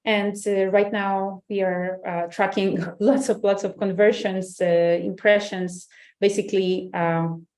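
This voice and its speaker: Woman, mid pitch, upbeat webinar host, casual and rambling. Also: little pitch movement, a little slow, a little mellow. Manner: upbeat, chatty, many fillers and restarts. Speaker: webinar host, head of marketing. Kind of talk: hosting an online webinar. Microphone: video call.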